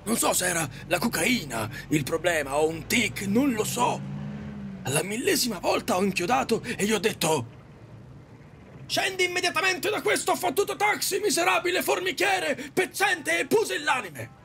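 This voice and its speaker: A man's voice: very high voice, very animated, moderate pace, gravelly, bright, forceful tone, a little hiss or hum, exaggerated voice. A man acting an exasperated, foul-mouthed taxi driver in an audio drama, ranting theatrically. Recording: faint music behind.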